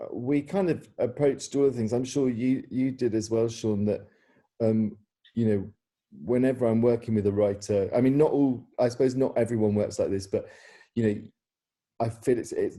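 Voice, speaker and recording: A man's voice: on the low side, mellow. A man hosting an informal question-and-answer session, phrasing his questions in a hesitant, rambling way. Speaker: interviewer and theatre director.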